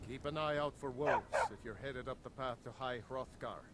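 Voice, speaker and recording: A man voicing a calm, plain-spoken male hunter in a video game, talking in an unhurried, matter-of-fact way. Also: mid-range voice, very noisy, close to an everyday voice.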